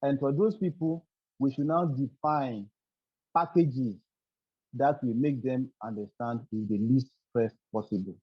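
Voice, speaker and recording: A man's voice: medium pitch, a little slow, breathy edge, dry recording. A man giving an earnest webinar talk over a video call, often repeating words as he searches for them. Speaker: webinar guest speaker. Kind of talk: formal presentation. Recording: dull dry video call audio.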